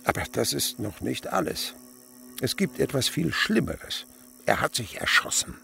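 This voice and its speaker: A man's voice: mid-range voice, sing-song, measured pace, vocal fry, bright, forceful tone, faint music behind, full character voice. An actor playing a miserly, callous old father in a German radio play, blunt, scornful and domineering. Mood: grave, blunt. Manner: blunt, scornful, lecturing, abrupt swings in delivery.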